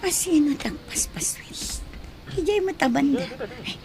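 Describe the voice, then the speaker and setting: Woman acting a guarded young Ilocano mother, high-voiced. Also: animated, unhurried, music in the background. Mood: defensive. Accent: Ilocano Filipino. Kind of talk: Ilocano radio drama acting.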